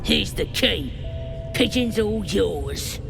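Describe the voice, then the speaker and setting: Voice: medium pitch, expressive, slow pace, creaky, music in the background, full character voice. An actor playing a shifty, dim goblin guard in a game, slangy and sneering. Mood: flustered, cowed. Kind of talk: game character acting.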